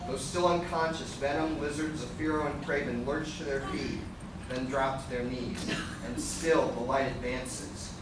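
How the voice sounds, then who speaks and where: A man's voice: mid-range voice, steady tone, a little slow, lightly acted. A man reading scripted narration for a live radio play, describing the action as narrator. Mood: dramatic narration. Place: live convention stage reading in a large room. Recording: echoey noisy hall, recorded from far off.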